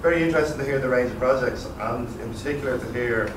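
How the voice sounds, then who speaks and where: Middle-aged Dubliner posing a lengthy question from the floor; distant, roomy recording. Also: male voice, medium pitch, fast talker. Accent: Dublin Irish. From Ireland. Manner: long, considered question. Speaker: audience member asking a question. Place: meeting room, in the audience. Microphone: far camera. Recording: echoey room, distant mic, noisy.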